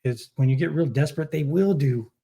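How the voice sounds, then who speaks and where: A man hosting a casual interview, talking in a halting way and rephrasing in mid-thought. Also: fairly high pitch, warm tone, breathy edge. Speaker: interview host. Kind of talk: casual chat.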